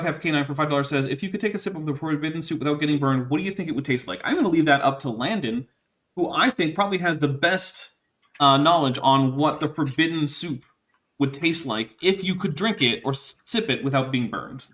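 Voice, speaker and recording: A man hosts a live stream, speaking a touch higher than most men. He reads viewer messages in a wry, slightly formal way, with small hesitations along the way. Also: brisk, fairly bright tone. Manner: wry, mildly formal phrasing with hesitant fillers. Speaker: streamer hosting a live chat. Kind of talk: casual chat, reading viewer messages aloud.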